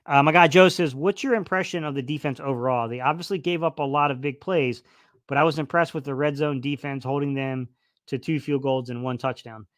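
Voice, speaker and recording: A man's voice: medium pitch, steady tone, fairly quick, no echo, studio quality. A man hosting a casual football live stream, reading the chat aloud and rambling with lots of fillers, his voice starting to wear out. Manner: rambling, many fillers and restarts. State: losing his voice a little. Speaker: sports livestream host.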